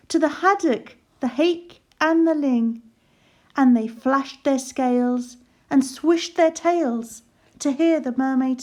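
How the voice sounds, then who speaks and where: A woman narrating a rhyming children's storybook aloud in a measured, sing-song way. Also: high-pitched, darkish tone.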